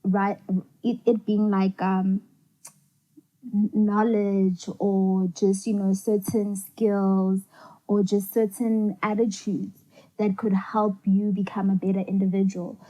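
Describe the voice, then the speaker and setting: A young woman co-hosts a laid-back talk show. She answers in a reflective, thinking-aloud way, pausing often to find her words and leaning on "you know" as she goes. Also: medium pitch, little pitch movement, mellow, rough recording. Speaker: co-host. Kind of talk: casual podcast chat.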